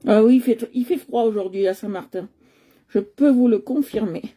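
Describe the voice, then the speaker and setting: A woman's voice: fairly high pitch, moderate pace, dark tone, slightly rough. A woman from Nice hosting a live coloring stream, chatting casually with many hesitations and fillers, talking into a computer at home. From Nice, France.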